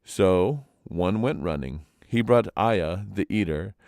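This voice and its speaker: Male storyteller, low pitch, smooth clear voice, dry close sound, expressive legend reading. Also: slow pace, dry recording.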